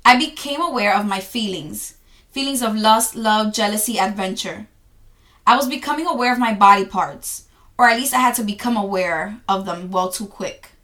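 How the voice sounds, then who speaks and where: Soft-spoken reflective Dominican woman. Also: mid-range voice, normal speed. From Dominican Republic. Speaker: journaling vlogger. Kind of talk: reading her own journal entries aloud.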